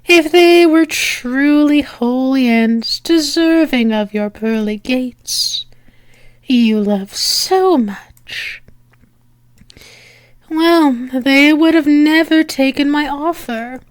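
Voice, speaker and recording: A woman playing a flirtatious female demon in an ASMR roleplay, teasing and sultry, breathy and often slipping into a whisper, close to the microphone. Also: high voice, dry recording. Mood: mocking. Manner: sultry, teasing, drawn-out with lingering pauses.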